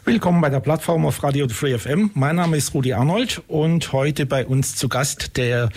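A man's voice: on the high side, normal speed, background noise. A man hosting a community radio interview in a studio, chatting easily and breaking in with follow-up questions. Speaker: radio host.

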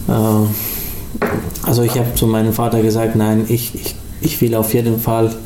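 A young man from Afghanistan, speaking German as an as a guest in a radio interview, halting and full of restarts. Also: fairly low pitch, monotone, roomy sound, background noise. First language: not German. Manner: halting, many restarts and fillers. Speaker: studio guest.

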